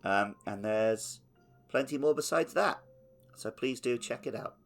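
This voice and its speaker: A man's voice: mid-range voice, lively intonation, a little slow, a bit bright, soft background music. A man co-hosting a podcast, chatting casually about video games. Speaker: podcast co-host.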